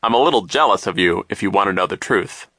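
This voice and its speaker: A man acting a loud, pompous, oblivious banker. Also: sits low, expressive, fast talker, no room sound, studio quality, exaggerated voice. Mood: self-satisfied, reassuring. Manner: self-satisfied, hearty, emphatic. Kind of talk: audiobook character voice.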